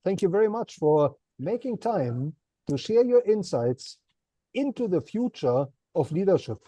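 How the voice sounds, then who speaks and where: A man's voice: high-pitched, sing-song, unhurried, warm tone, dry recording. A man hosting an interview, asking his guest polite, formally worded questions. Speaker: interview host.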